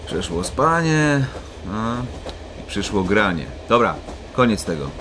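A teasing, busy dad in a children's radio play. His pitch swings widely as he jokes and rhymes. There is clear travel noise behind him. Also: very animated, slow. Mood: playful, teasing. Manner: jokey, rhyming wordplay. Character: playful, teasing, busy father of young children. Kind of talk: children's audio drama acting.

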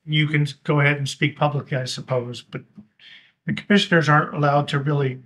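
A man's voice: a little high, fairly even intonation, fairly quick, a bit bright. Firm, polite man chairing a meeting. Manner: polite but firm, cutting off off-topic comment. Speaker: board commissioner.

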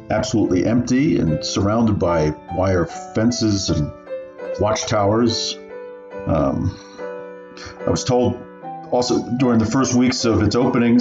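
A man playing an elderly refugee recounting his family's story in a radio play, deliberate and earnest. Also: on the low side, lively intonation. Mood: somber. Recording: roomy, dull sound, piano underneath.

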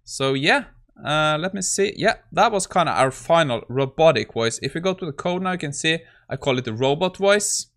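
A man's voice: fairly high pitch, lively intonation, moderate pace, fairly bright tone. A man in his thirties giving a casual coding walkthrough as a tech YouTuber at his desk.

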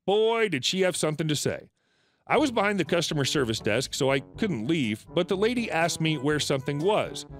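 A man narrating reddit retail stories aloud, reading in a lively, animated way. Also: a little fast, soft background music. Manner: lively, animated reading with shifting pitch for quoted customers. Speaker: story narrator.

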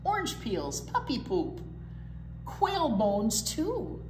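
A brash, hungry storybook garbage truck, acted out by a woman reading aloud. The delivery is theatrical and very animated, with short punchy phrases and drawn-out words. The room is echoey. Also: on the high side, heavily acted. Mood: relishing filth. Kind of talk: picture book read-aloud. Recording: roomy echo, faint room noise.

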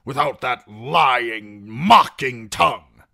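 A man voicing a haughty, vengeful knight in a fantasy audiobook, declaiming loudly with drawn-out emphasis. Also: mid-range voice, expressive, creaky, voice pushed up, heavily acted. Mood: scornful.

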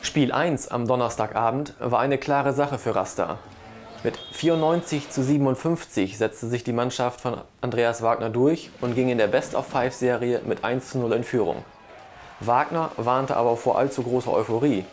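A man's voice: mid-range voice, normal speed, slight background noise. Middle aged guy reading a sports report, bit of ambience behind him, clip-on mic. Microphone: lapel mic.

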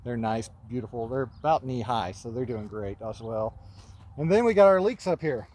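A man in his thirties walking a vegetable field outdoors and talking casually into a phone camera held at arm's length, offhand and relaxed as he points things out. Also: medium pitch, normal speed, a little hiss or hum. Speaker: market gardener giving a farm tour. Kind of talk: casual walking tour talk.

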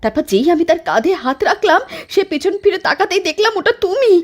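A woman voicing a frightened village wife who talks in an anxious, shrill way. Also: very animated, fast. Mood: shaken. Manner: shrill, anxious, cries out and pleads. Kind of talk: dramatized storytelling.

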